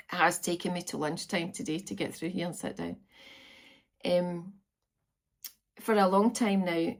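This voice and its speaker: A Scottish woman in her fifties chatting to camera from home, meandering with frequent ums. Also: lowish voice. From Scotland. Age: 50s. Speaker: vlogger. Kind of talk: casual chat to camera. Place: at home on a farm, indoors.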